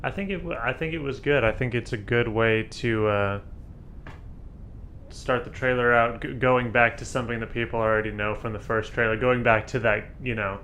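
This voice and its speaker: A man co-hosting a casual podcast with a dry, monotone delivery. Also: medium pitch, moderate pace, a little hiss or hum. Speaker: podcast co-host.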